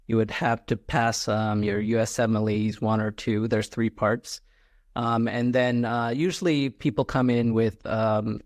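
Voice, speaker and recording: A man from Malaysia, a podcast guest, answering questions in a relaxed, halting way with many ums and uhs. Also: lowish voice, no room sound, studio quality. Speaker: guest, clinical scientist. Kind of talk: interview answers.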